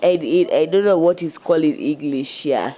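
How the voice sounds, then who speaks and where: A young Kenyan woman with a Kenyan accent narrating her outdoor cooking vlog in a relaxed, chatty way, a little stuffy from a cold. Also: deep voice, normal speed, poor audio. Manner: relaxed and chatty, with frequent "yeah" and restarts. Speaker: cooking vlogger. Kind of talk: casual voice-over narration of a cooking video.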